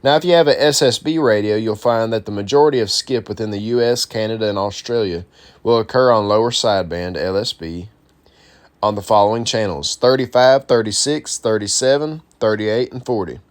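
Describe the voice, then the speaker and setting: A man's voice: on the low side, a little fast. A man presenting an instructional guide, reading a script aloud in an informal, explanatory way. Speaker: presenter of a CB radio how-to guide.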